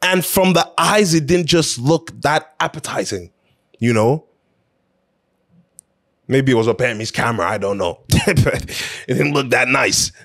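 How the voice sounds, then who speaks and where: A man's voice: medium pitch, lively intonation, measured pace, pauses often, a bit bright. A man hosting an opinion show, talking casually and emphatically in a conversational way, repeating phrases to drive his points home.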